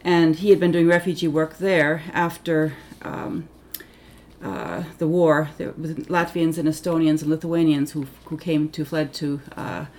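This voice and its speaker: A middle-aged woman speaks with a low voice. Her first language is Finnish, and she grew up in Tanzania. She talks in a thoughtful, halting way, correcting herself often. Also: fairly even intonation, darkish tone. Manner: thoughtful, halting, many ums and self-corrections. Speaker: interviewee, Africanist scholar. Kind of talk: reflective oral history interview.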